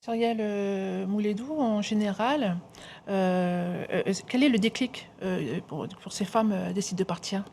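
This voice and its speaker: Woman host, TV talk show interview, hesitant questions, lots of fillers, gravelly voice, faint room noise. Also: mid-range voice, unhurried, a little mellow. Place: TV studio set.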